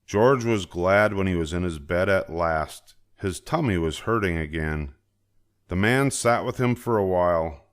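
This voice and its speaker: An older man narrating a children's story aloud in an expressive, deliberate storytelling manner. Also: deep voice. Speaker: storybook reader.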